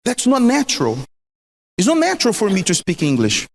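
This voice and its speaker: A man from Brazil with a strong Brazilian accent, a native Portuguese speaker, giving marriage advice. He is emphatic, repeats his points and keeps asking the listener questions. His voice is high and swings widely, and it rings in a reverberant room. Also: huge pitch swings, fairly quick, vocal fry. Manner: emphatic, repetitive, rhetorical questions. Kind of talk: recorded motivational talk.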